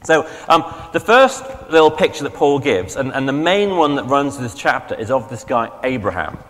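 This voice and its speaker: Chatty vicar with a strained throat, in a reverberant hall. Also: male voice, mid-range voice, animated, normal speed, a bit bright. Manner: conversational, chatty, self-correcting. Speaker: vicar preaching. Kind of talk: sermon. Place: church hall.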